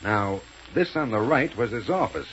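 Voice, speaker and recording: An American actor playing a commanding, impatient, scheming gang leader in a 1930s radio serial. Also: male voice, fairly low pitch, animated, normal speed, fairly bright tone. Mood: brisk, explanatory. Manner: bossy, curt, impatient. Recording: muffled, noisy 1930s radio recording.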